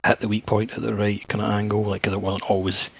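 A man's voice: on the low side, flat delivery, quick pace, creaky, breathy edge. A man chatting casually as a co-host on a video game podcast.

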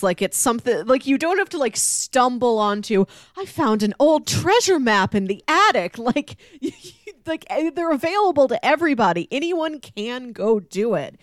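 A woman's voice: medium pitch, dry recording, clean studio sound. A woman co-hosting a casual book discussion, playfully making her points with mock quotes and comparisons. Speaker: podcast co-host discussing a graphic novel.

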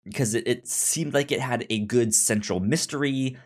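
A man hosting a podcast in casual discussion, speaking haltingly and often stammering over words and sounds. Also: mid-range voice. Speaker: podcast host.

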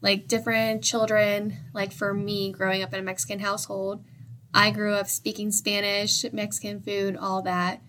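A woman's voice: mid-range voice, little pitch movement, moderate pace, sharp tone, a little hiss or hum. A young woman co-presenting in a loose, conversational way, often using "like" as filler. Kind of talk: casual discussion.

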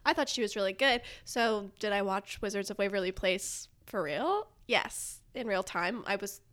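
A woman's voice: mid-range voice, lively intonation, normal speed, fairly bright tone. A woman chatting casually and comedically as a podcast guest, with a rambling, self-deprecating manner.

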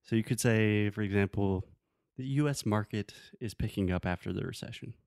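A man's voice: lowish voice, brisk, vocal fry, a little mellow, no echo. Casual male English teacher, dry close sound. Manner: explains with everyday examples, checking understanding. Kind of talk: casual conversational language lesson.

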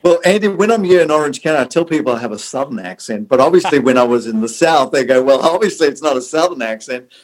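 A man's voice: medium pitch, expressive, fast talker, few pauses, rough recording. A middle-aged man with an Australian accent, born in South Africa, answering interview questions. He speaks conversationally, often restarting and repeating words. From born in South Africa, raised in Australia. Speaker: Salvation Army officer, podcast guest.

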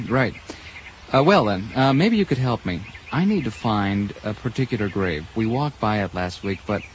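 A polite, hesitant ordinary man, played by an actor in a radio drama. His medium-pitched voice moves up and down a lot, over a slightly dull recording with faint noise. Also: expressive, moderate pace, lightly acted. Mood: polite, awkward. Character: polite, hesitant everyman visitor to a cemetery. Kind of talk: naturalistic audio drama acting.

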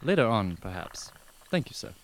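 A man's voice: fairly low pitch, very animated, normal speed. A man playing an unflappable, discreet valet in a radio drama, deferential and formally understated. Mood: calm, deferential.

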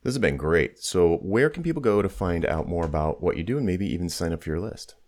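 A man's voice: deep voice, lively intonation, brisk, a little mellow. A man hosting a casual podcast interview, putting questions to his guest in a conversational way.

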